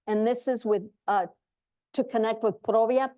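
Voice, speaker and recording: A woman's voice: on the high side, steady tone, normal speed, mellow. A woman speaking informally to an audience as an association representative, running through announcements. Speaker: association speaker on global programs.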